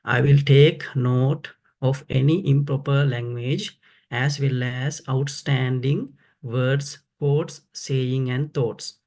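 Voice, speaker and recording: A man introduces his grammarian role at a club meeting. He speaks slowly and deliberately, with many fillers. His voice is gravelly. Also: medium pitch, steady tone, a little mellow.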